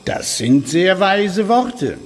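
An actor playing a courteous, sly alchemist lord in a German radio drama, explaining his marvels formally with a husky voice. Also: sits high, big pitch swings, extremely slow, soft background music, full character voice. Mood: smooth, insinuating. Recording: long reverberant stone-hall echo.

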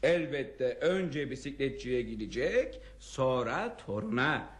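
An actor playing a pushy, jovial second-hand shop seller in a radio play, brushing off complaints with brisk sales patter. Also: male voice, medium pitch, lively intonation. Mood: pushy, dismissive. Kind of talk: radio theater acting.

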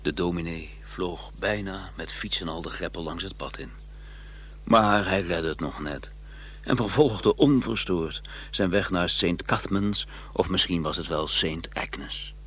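An actor playing a grumbling, proper gentleman investigator who also narrates a radio play, wry and easily exasperated, with a husky voice. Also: low-pitched, unhurried, heavily acted. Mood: wry narrating.

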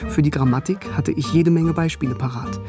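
Actor as a wry, higher-voiced young student. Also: male voice, steady tone, brisk, lightly acted. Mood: wry recollection. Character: bookish, cheeky young East German philosophy student narrator. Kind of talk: first-person radio drama narration and dialogue. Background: music.

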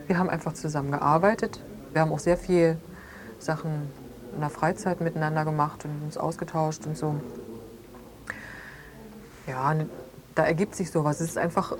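Actress as low-voiced, hesitant, reticent woman. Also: natural voice. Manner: hesitant, searching for words. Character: hesitant party member, reticent friend of another woman. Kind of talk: naturalistic interview-like radio drama.

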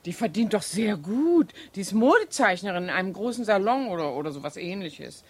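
A woman's voice: medium pitch, sing-song, brisk, slightly rough, dry recording. An actress in a 1970s German radio play playing a chatty, falsely naive cleaning woman who feigns discretion. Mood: innocent gossip. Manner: chatty, mock-innocent.

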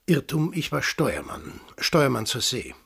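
An actor playing a stubborn, middle-aged former sailor in an Austrian radio drama, dry, evasive and mocking in an Austrian accent. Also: male voice, medium pitch, big pitch swings, measured pace, creaky, fairly bright tone, husky, exaggerated voice. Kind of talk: German-language radio drama acting.

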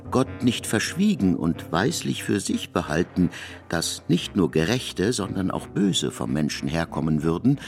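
Deep, creaky-voiced male literary narrator. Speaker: narrator of a literary radio adaptation.

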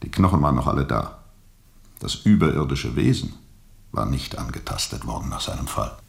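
An actor playing a world-weary steamboat captain who tells of his journey gravely and reflectively, with heavy pauses, in a radio drama. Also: very deep voice, sing-song, exaggerated voice. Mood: grave, haunted. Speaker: narrator and lead character.

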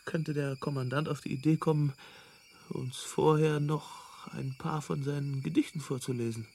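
An actor playing a breezy, evasive galactic travel researcher in a radio drama, casual and placating. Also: male voice, a little high, steady tone, normal speed, lightly acted. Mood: wry. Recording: faint noise, ambient sound bed.

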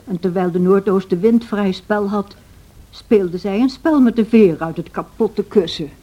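Mellow-voiced, concerned serving woman, played by an actress. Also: medium pitch, a little slow, lightly acted, low-quality recording. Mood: grave, narrating. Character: concerned female servant narrating, protective and practical. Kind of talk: radio drama narration and acting.

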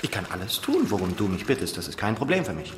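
An actor playing a sly, warty talking fish in a radio drama, mockingly polite and theatrical. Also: male voice, fairly low pitch, very animated, fast. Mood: coaxing. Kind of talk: German radio drama acting. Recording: echoing hall, long reverb, watery noise.

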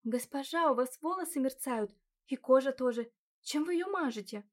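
A woman voicing an eager young maid who exclaims excitedly. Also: high-pitched, normal speed, pitched above the performer's own voice. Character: eager young female maid, warm and chatty.

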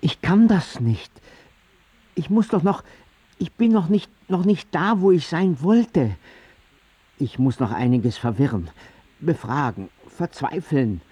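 Husky, sardonic artist father. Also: on the high side, expressive, slow, dark tone, dry recording, heavily acted. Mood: anguished. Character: Bruno's father, anguished, sardonic, reluctant artist. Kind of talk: radio drama dialogue.

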